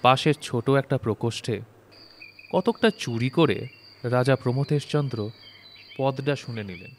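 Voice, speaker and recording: A man's voice: medium pitch, measured pace, a little hiss or hum. A man narrating a literary story from a script in a recording studio.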